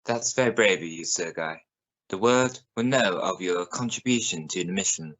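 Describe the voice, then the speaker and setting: A man playing a serious, probing evening news reporter in a science fiction radio play, speaking in a formal, measured way. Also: sits low, fairly bright tone, dull audio. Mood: sombre, respectful. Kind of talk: scripted radio news broadcast in a drama.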